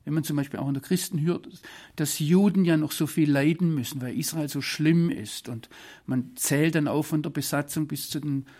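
A man from Swabia, giving earnest, deliberate interview answers. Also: on the high side, steady tone, a little mellow. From Swabia, Germany. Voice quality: husky. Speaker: interview guest.

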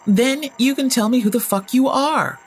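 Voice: medium pitch, normal speed, husky, pitched above the performer's own voice. A storyteller voicing a blunt, sarcastic teenage girl who questions things with open disbelief in an audio horror story. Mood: angry.

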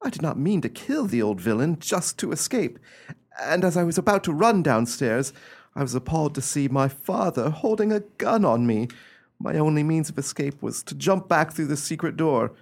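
A man's voice: a little high, lively intonation, moderate pace. A man playing a frail, bitter nobleman freed from long imprisonment, in formal, dramatic radio play speech. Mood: grave, confessing.

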